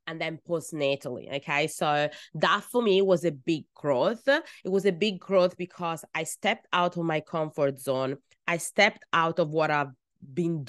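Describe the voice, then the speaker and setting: A woman in her thirties with an Italian accent, a midwife telling her own story on a podcast in an earnest, softly emphatic way, pausing between phrases. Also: lowish voice, no room sound, clean studio sound.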